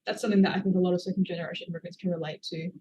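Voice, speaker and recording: A woman's voice: lowish voice, steady tone, very fast, dark tone, lo-fi sound, a little hiss or hum. A woman, an author, answering interview questions in a thoughtful, hedging way with many fillers. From Australia. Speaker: author guest.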